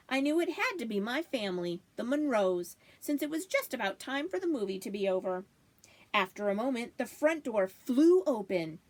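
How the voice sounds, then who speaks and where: A woman with a slightly high voice reads a storybook aloud. Her pitch moves in lively swings, and she delivers the tale expressively, as a reader for children would. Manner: animated, acting out dialogue. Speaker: librarian reading aloud to children.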